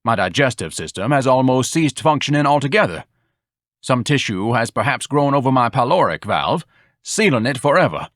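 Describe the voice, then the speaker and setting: A man's voice: mid-range voice, very animated, normal speed, a bit bright, dry recording, clean studio sound, voice pushed up. A man voicing a pompous, self-pitying fat young man who speaks in lofty, grandiloquent phrases. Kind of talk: audiobook dialogue.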